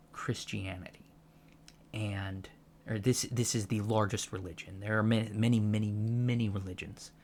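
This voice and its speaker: A man playing an earnest alien college professor in a soft-spoken ASMR lecture roleplay, halting and deliberate, carefully correcting himself as he explains. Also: lowish voice. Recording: clear steady background noise.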